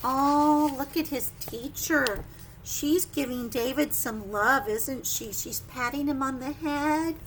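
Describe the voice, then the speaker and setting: A woman leading preschool story time, reading a picture book with simple, expressive asides and questions to young children. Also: sits high, slow pace, a little hiss or hum, rough recording.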